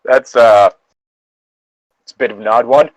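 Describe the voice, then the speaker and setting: A man's voice: mid-range voice, measured pace, dry recording, rough recording. A man co-hosting in casual banter, reading a story aloud and mocking it with wry, crude jokes. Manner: wry, irreverent, crude joking. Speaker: host of a comedic fan-fiction reading.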